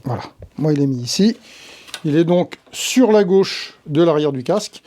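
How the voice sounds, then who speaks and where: High-pitched Frenchman with a mellow tone. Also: animated, moderate pace, slight background noise. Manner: conversational, thinking aloud while handling gear. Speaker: tutorial host, tree-work professional. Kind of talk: informal hands-on tutorial, explaining step by step.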